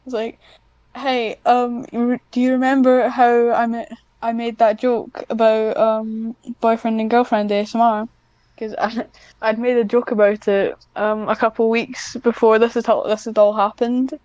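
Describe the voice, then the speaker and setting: A woman with a fairly high, mellow voice talks casually as a podcast guest. She takes her time, hesitating and restarting in a slightly awkward way. The sound is close and dry. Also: level tone, clear, resonant tone, no room sound, lo-fi sound. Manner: hesitant, halting, many self-interruptions; self-conscious, awkward. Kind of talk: casual interview chat.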